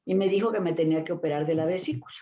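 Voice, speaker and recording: An older Mexican woman recalls her career as an interview guest, with a lowish, dark, mellow voice. She rambles and restarts often. The sound is dull and lo-fi. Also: racing. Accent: Mexican Spanish. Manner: rambling recollection with many fillers and restarts. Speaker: guest therapist. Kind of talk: casual interview storytelling.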